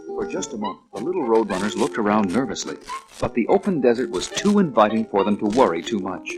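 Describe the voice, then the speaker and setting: A man's voice: lowish voice, big pitch swings, a little fast, over music, poor audio. A man narrating a children's read-along story in a scripted storytelling style.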